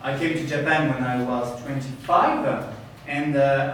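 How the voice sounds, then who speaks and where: A man interpreting a speech into English, speaking quickly and plainly with a few fillers. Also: on the high side, a little hiss or hum. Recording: echoey room from far off.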